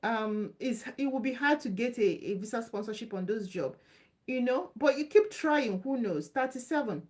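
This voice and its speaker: A woman's voice: fairly high pitch, expressive, moderate pace, a little mellow. Chatty woman on a live stream. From Nigeria. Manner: chatty, loose and repetitive, reassuring. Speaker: live stream host answering visa questions. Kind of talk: casual live Q&A.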